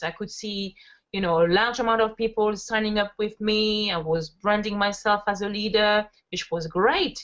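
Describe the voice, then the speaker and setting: A woman from France, in her thirties, talks through her story as an interview guest. She rambles warmly with plenty of fillers, at a middling pitch. The call audio sounds muffled and lo-fi. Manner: chatty, storytelling, frequent fillers. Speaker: interview guest, network marketer. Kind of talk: casual interview chat. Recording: dull lo-fi audio.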